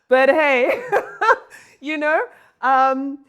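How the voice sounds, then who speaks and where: A South African woman, a mentor and entrepreneur, answering an interview question in a low, commanding voice, emphatic and often repeating her words. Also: drawn-out words, sharp tone.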